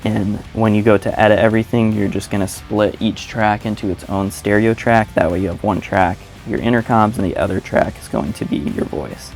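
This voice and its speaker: Low-voiced man, casual tutorial. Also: fairly even intonation, brisk, gravelly, hardly any pauses, a little mellow, soft background music. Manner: informal, plain-spoken. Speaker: motovlogger presenting a how-to tutorial. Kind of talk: casual step-by-step instructional narration.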